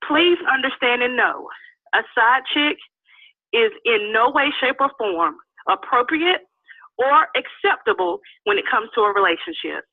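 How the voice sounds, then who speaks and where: A woman's voice: on the high side, animated, a little slow, no echo. A woman in her thirties calling in to a podcast, stuffy from a cold, speaking earnestly and firmly. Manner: earnest, firm, open. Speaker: caller. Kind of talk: phoned-in call on a podcast. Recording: tinny dry phone line.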